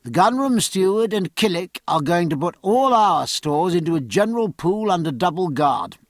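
A man's voice: high voice, lively intonation, normal speed, no echo, clean studio sound, voice pushed up. A man voicing a bold, commanding sea captain in an audiobook, speaking in hearty, emphatic speeches to his crew. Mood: firm, resolute. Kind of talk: audiobook character acting.

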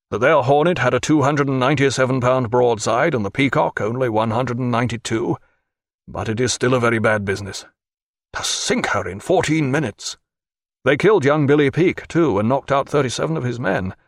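A man's voice: mid-range voice, no echo, studio quality, lightly acted. A man voicing a blunt, commanding, mischievous naval captain in an audiobook.

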